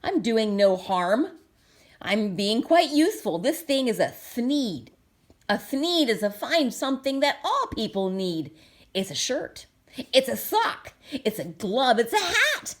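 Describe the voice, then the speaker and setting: Woman playing an animated, boastful storybook salesman. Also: fairly high pitch, slow. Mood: eager, boastful. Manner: boastful, brushing off objections. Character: eager, smug, dismissive male tradesman salesman.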